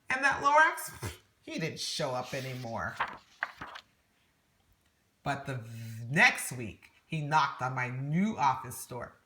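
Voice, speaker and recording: A woman reading a rhyming children's story aloud in an expressive, dramatic and emphatic way. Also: a little high, poor audio. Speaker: storybook reader.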